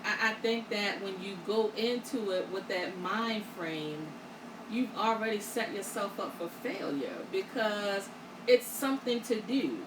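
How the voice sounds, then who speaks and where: A woman hosting a casual panel discussion, reflective and steady, often affirming the others. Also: medium pitch, a little slow. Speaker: host. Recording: echoey room, distant mic, audible noise.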